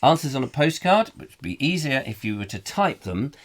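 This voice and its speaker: A man hosting a comic live chat show, playful and silly, reading out viewer comments in a croaky voice. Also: medium pitch, big pitch swings, brisk. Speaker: host.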